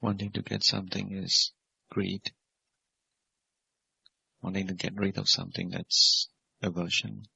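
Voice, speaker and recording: A man teaching guided meditation as a Buddhist monk, speaking in measured, simple phrases and gentle questions. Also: sits low, flat delivery, vocal fry, breathy edge. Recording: dull, lo-fi recording.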